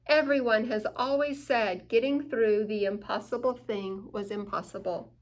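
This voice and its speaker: A woman's voice: on the high side, steady tone, normal speed, lightly acted, rough recording. A woman voicing a big, strong, kindly male bear in a children's storybook, explaining in a reassuring way.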